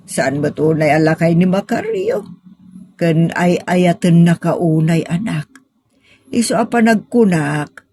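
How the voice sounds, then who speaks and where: A woman's voice: on the low side, sing-song, takes it slowly, dark tone, a little hiss or hum. A woman playing a middle-aged, money-minded mother in an audio drama, coaxing and insisting with her grown daughter. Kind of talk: sentimental audio drama acting.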